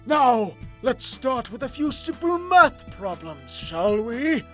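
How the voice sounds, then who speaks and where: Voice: medium pitch, very animated, slow, soft background music. A performer voicing a strict, menacing pony schoolteacher in a parody cartoon, scolding and barking commands. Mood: outraged. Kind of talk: parody cartoon dub acting.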